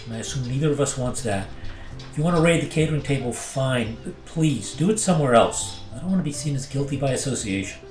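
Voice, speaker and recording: A woman's voice: a bass voice, lively intonation, fast talker, a little mellow, reverberant, faint music behind. A woman playing an anxious, rule-following pilot in an audio drama, speaking with earnest reproach. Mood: tense disapproval. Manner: earnest, reproachful, hesitant under pressure. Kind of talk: naturalistic audio drama acting.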